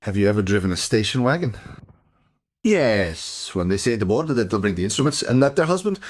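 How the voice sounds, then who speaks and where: An actor playing a shady, evasive dealer in a crime radio drama, with a condescending, menacing manner. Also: male voice, fairly low pitch, sing-song, a little fast.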